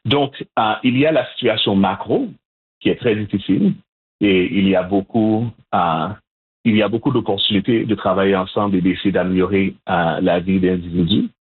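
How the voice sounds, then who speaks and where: Interview guest: man, measured, hesitant, slightly husky voice. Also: sits low. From Montreal, Canada. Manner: measured, hesitant, frequent restarts. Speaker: guest, Haitian community organizer. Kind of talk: interview answers. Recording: phone-line audio, husky.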